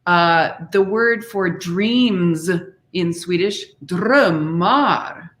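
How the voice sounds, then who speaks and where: Slow-paced woman explaining as interview guest. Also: on the low side, a bit bright, a little hiss or hum. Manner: explanatory, demonstrates foreign words by example. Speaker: interview guest, singer and author. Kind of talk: conversational explanation of singing diction.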